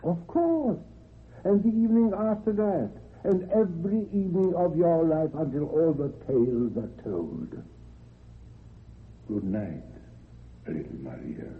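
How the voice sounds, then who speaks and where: A man's voice: a little high, expressive, a little slow, narrow, tinny audio. An actor playing an elderly English grandfather, an indulgent seafaring storyteller, in a radio horror drama. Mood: tender. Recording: noisy, thin old radio recording.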